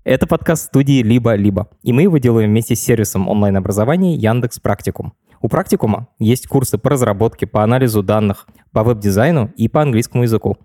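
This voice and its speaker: A man hosts a podcast, talking quickly and casually as he explains. His voice is dark and husky. The sound is close and dry, with faint music underneath. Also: on the low side, expressive, dry recording. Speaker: podcast host. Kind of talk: podcast conversation.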